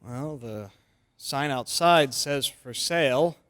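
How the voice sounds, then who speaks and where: A man's voice: mid-range voice, slow, fairly bright tone, dry recording. A man playing a kindly, earnest fellow in an old-time radio drama. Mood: earnest wonder.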